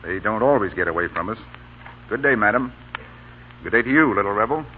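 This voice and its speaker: An actor playing an authoritative yet gentlemanly army colonel in an old radio drama. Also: male voice, lowish voice, lively intonation, fairly quick, lightly acted. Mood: courteous, gentle. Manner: authoritative, clipped military orders, gentlemanly. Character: commanding, courteous male Union army colonel, stern but kindly. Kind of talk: 1930s-40s radio drama acting. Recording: dull, noisy vintage radio broadcast.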